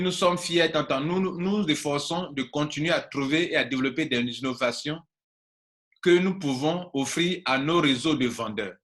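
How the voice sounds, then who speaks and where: An Ivorian man with a mid-pitched voice coaches an online class in an informal style. His accent is Ivorian, with Baoulé as his mother tongue. He drives points home emphatically, repeating himself and putting rhetorical questions to his listeners. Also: fairly bright tone. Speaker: network marketing coach. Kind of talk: informal online training talk, reading and translating slides.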